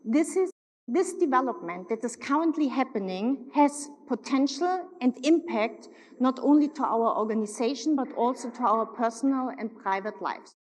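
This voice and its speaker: A woman's voice: high voice, lively intonation, a little mellow. German-accented woman giving an upbeat keynote. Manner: upbeat, emphatic, slowing for stress. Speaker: corporate executive keynote speaker. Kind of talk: keynote speech on stage. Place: large hotel ballroom. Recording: long reverb of a large hall.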